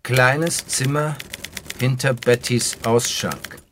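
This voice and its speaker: An actor playing a struggling writer in a German radio play, sober and deliberate in his delivery. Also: mid-range voice, fairly even intonation, fairly bright tone, a little hiss or hum. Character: struggling writer, reading his screenplay aloud as he types. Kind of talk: German radio play, measured reading and terse dialogue. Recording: typewriter clatter behind the voice. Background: typewriter keys clicking.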